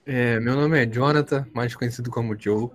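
A man's voice: mid-range voice, normal speed. A man of twenty hosting a casual podcast from home, joking and teasing his friends. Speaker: podcast host.

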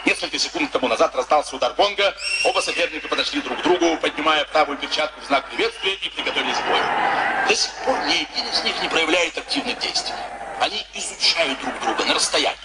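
Actor as a rapid-fire radio boxing commentator. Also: male voice, fairly high pitch, animated, lightly acted. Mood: eager, rapid. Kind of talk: mid-century sports commentary in radio play. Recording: very noisy, distant archival radio recording.

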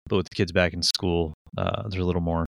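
A man's voice: deep voice, flat delivery, fast, creaky, fairly bright tone, no room sound, clean studio sound. A man in his forties chatting casually as a podcast co-host, rambling and self-mocking with many fillers and restarts. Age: 40s. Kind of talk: casual chat. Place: Connecticut. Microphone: studio microphone.

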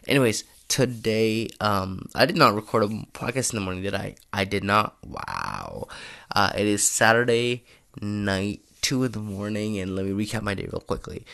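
A young man who has lost his voice, giving a tired, low-key late-night audio diary recap. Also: lively intonation, moderate pace, creaky, a bit bright.

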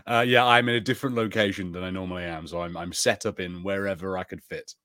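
Low-voiced male podcast co-host, dry sound. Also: steady tone, fast talker, sharp tone, no echo. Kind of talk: casual podcast review chat.

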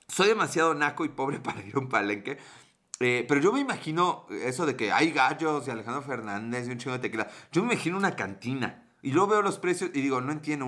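Mexican male streamer, rambling and crude, bright forward tone. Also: medium pitch. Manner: joking, crude slang, rambling. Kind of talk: casual livestream chat, reading viewer comments.

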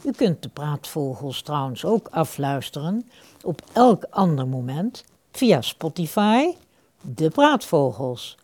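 A female radio announcer with a soft, warm timbre. She reads scripted credits slowly, with plenty of rise and fall in a medium-pitched voice. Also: big pitch swings.

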